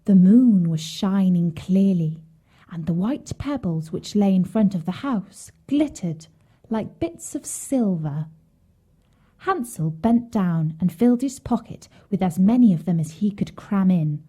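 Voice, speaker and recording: A woman's voice: medium pitch, lively intonation, unhurried, mellow, slightly rough. A woman narrating a scripted bedtime fairy tale as a storyteller for children.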